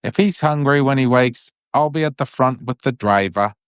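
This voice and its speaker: Man playing a kindly plump witch, pitched up, motherly, reassuring, storybook character. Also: moderate pace, clear, resonant tone, no room sound, clean studio sound, lightly acted. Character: kindly plump older witch with food cart. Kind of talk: bedtime storybook reading.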